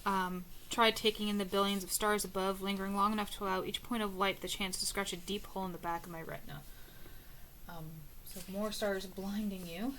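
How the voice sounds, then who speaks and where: A woman reading aloud from book notes in a casual, musing way, with many ums and uhs, often trailing off softly. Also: medium pitch, level tone, fairly quick, slight background noise, poor audio. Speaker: book reader and annotator.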